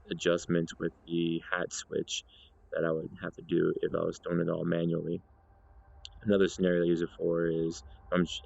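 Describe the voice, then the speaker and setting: A man's voice: deep voice, gravelly, darkish tone, lo-fi sound. A man presenting a casual gaming demonstration, explaining things and correcting himself as he goes.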